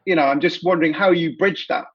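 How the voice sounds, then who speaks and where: Casting: British man as interview host, husky voice, halting and friendly, dull lo-fi call sound. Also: a little high, fairly even intonation. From United Kingdom. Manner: halting, restarts often, friendly. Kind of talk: casual interview questions.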